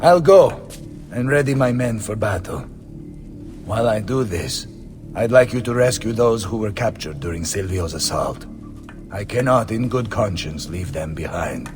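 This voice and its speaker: An actor playing a bold, blustering Renaissance mercenary captain with an Italian accent in a video game. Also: male voice, on the low side, lively intonation, moderate pace, music in the background, close to an everyday voice. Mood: hearty, earnest. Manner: blustering, theatrical. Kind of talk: video game cutscene acting.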